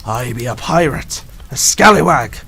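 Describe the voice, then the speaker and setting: A boastful old pirate captain, noisy backdrop. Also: male voice, medium pitch, lively intonation, moderate pace, vocal fry, sharp tone. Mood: swaggering, wry. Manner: theatrical pirate talk with exclamations. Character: boastful rough old male pirate captain, a sea dog. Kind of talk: radio play acting.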